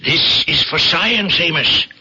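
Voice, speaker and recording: An actor playing a pompous, zealous older newspaper boss with a husky voice and a bombastic, commanding manner in an old-time radio drama. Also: male voice, fairly high pitch, animated, slow, background noise. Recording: muffled vintage radio broadcast.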